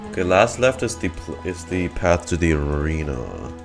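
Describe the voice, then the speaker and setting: A man with a low voice chats casually as he streams a video game. He rambles, thinking aloud and often trailing off mid-sentence. Faint game music plays behind him. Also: very animated, moderate pace. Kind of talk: casual livestream gameplay commentary.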